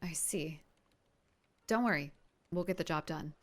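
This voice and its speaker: A woman's voice: low-pitched, a little slow. A woman playing an eager, earnest young new superhero in an audio drama. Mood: attentive, curious. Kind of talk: scripted audio drama acting.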